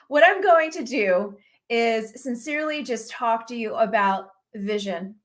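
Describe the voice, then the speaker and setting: Earnest high-voiced woman host in her forties. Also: a bit bright. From United States. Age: 40s. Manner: earnest, preachy, rhetorical questions. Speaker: radio show host. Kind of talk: faith-based talk monologue.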